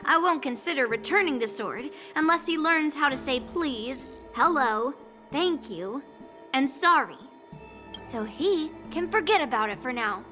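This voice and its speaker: Cocky young swordswoman: high, smug, indignant, game music under. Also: lively intonation, unhurried. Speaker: game character. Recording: game audio with music behind.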